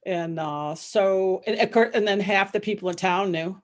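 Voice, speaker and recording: Woman guest on a chat show, middle pitch, rambles and restarts her sentences. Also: normal speed. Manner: rambling, circles back mid-sentence. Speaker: interview guest, author and psychologist. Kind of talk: casual conversational interview.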